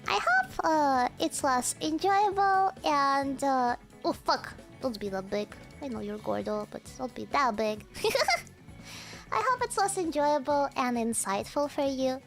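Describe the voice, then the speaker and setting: A woman's voice: high-pitched, lively intonation, a little slow, music in the background. A young woman streamer with a hard-to-place mixed accent, chatting casually to her viewers with lots of fillers and laughter. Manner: talks to chat, frequent laughter and fillers. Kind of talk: casual livestream chat.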